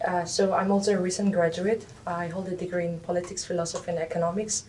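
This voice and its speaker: Young woman from India, halting interview answers, mellow tone, recorded from afar. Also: level tone. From Nagaland, India. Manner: hesitant, many fillers and restarts. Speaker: interviewee, recent graduate. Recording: distant mic, faint rumble.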